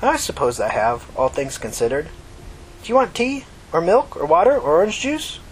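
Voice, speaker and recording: A man's voice: fairly high pitch, wildly swooping pitch, moderate pace, a little hiss or hum, pitched above the performer's own voice, low-quality recording. A man voicing a kindly, talkative old mother from New York in a story reading, folksy, reflective and reassuring. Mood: warm, motherly. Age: older.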